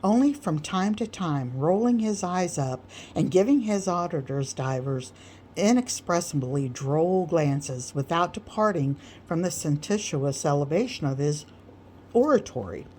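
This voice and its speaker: A woman narrating a literary story aloud as an audiobook reader, with measured, deliberate phrasing. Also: deep voice, lively intonation, a little mellow, a little hiss or hum.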